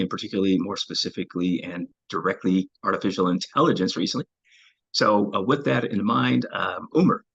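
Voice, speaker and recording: Low, husky-voiced man hosting an interview. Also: fairly bright tone, muffled recording. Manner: measured, with frequent fillers. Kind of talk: recorded video interview.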